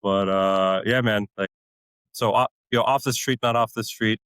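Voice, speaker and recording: A man's voice: on the low side, steady tone, normal speed, a bit bright, smooth, dry recording. A man in his forties whose first language is Polish, a podcast guest telling army stories casually and animatedly, laughing often and acting out other people. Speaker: podcast guest, retired Army warrant officer. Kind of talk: casual conversational storytelling.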